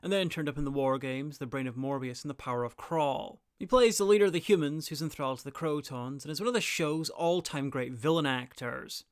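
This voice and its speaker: A man's voice: on the high side, a little fast, hardly any pauses, clean tone, no echo, studio quality. A man delivering scripted review narration as a host, with wry, opinionated asides.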